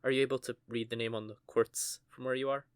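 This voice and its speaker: A man's voice: medium pitch, flat delivery, fast, clean tone, dry recording, close to an everyday voice. Male actor as a nervous stuttering teen-ish witch; everyday mid voice, dead-dry close recording. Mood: flustered. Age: young adult. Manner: stammering, flustered. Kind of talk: audio roleplay acting.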